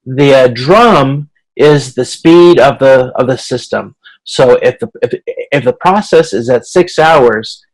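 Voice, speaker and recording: Male instructor, mid-range voice, informal explanatory delivery, halting and restarting often. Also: medium pitch, poor audio. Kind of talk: informal explanatory lecture over video conference.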